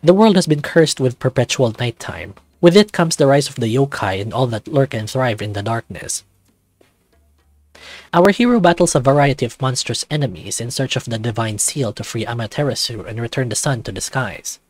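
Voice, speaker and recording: A man's voice: mid-range voice, moderate pace, no room sound. Man narrating a visual novel. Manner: even, second-person storytelling.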